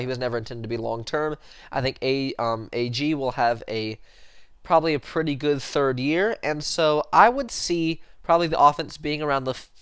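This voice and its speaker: A man's voice: mid-range voice, fairly bright tone, clear, resonant tone, dry recording. A young man with a head cold and a slightly nasal voice, hosting casual football commentary on his channel in a rambling way full of fillers, recorded in a small room on a headset mic. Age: young adult. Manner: rambling, self-correcting, lots of fillers. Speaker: sports channel host. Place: small room in front of a sports-print backdrop.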